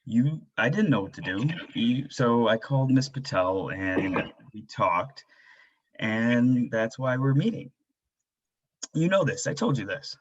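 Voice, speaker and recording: A man playing a stressed stay-at-home father in a staged drama reading, earnest, terse and quietly frustrated. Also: lively intonation, a little slow, breathy edge. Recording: dull, low-fidelity microphone sound.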